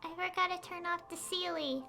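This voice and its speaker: A woman's voice: very high-pitched, steady tone, normal speed, gravelly. A woman game streamer chatting casually in a rambling, informal way into a headset. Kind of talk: casual livestream chat. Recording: faint background noise.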